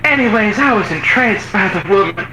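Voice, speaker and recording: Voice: medium pitch, expressive, normal speed, roomy sound, close to an everyday voice. A performer voicing Mama Bear, a folksy older trucker with a Texan accent, rambling in homespun sayings over a noisy radio line. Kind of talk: improvised comedy chat.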